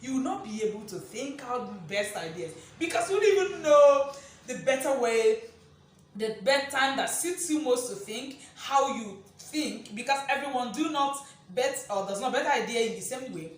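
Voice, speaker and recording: A woman delivers a motivational teaching talk in a high, loud, projecting voice. She is emphatic, repeats key phrases and checks in with rhetorical questions. The recording is very reverberant and picked up from a distance. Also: animated, normal speed. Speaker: motivational speaker and presenter. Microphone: distant camera mic, no microphone on speaker. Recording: echoey room, distant mic, faint noise.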